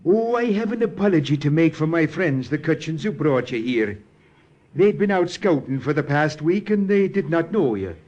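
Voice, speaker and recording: An actor playing a kindly, teasing missionary priest in a 1940s radio drama. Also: male voice, on the high side, animated, moderate pace, a little mellow, muffled recording, lightly acted. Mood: jovial, gentle. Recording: vintage radio drama recording.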